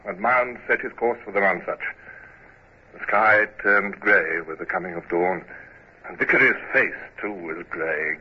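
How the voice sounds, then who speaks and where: An actor playing a reserved naval commodore who narrates his own sea story in 1940s radio drama style, measured and formal. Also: low voice, slightly rough. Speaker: narrator and lead character. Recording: muffled old radio recording with hiss.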